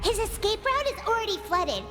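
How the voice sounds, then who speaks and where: Super high squeaky little fairy girl for a game, excitable, bouncy pitch. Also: fairly quick. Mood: curious, cheerful. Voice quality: squeaky, childlike. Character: tiny excitable floating fairy girl companion, childlike, chirpy. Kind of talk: video game voice acting. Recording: game music behind the voice.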